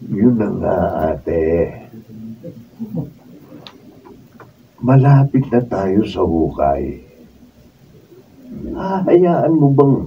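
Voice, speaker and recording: A man's voice: low-pitched, dull audio, full character voice. A man playing a wise, consoling elderly brother in a radio drama, earnest and philosophical, with a Filipino accent. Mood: gentle, grave. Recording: distant, phone-thin, noisy room recording.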